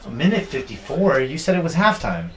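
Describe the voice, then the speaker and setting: A man's voice: on the high side, brisk. A young man chatting casually and teasing a friend while they watch a basketball game together. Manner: joking, teasing, lively banter. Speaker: co-host. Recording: echoey room.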